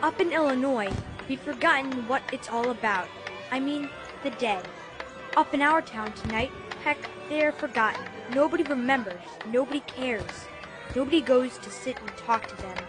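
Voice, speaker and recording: A woman's voice: sits high, normal speed, a crowd in the background, close to an everyday voice. A woman voicing an earnest, wide-eyed schoolboy full of wonder in a radio drama. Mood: earnest, reflective. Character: wide-eyed, thoughtful Midwestern schoolboy on adventure. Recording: loud music behind the voice. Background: music.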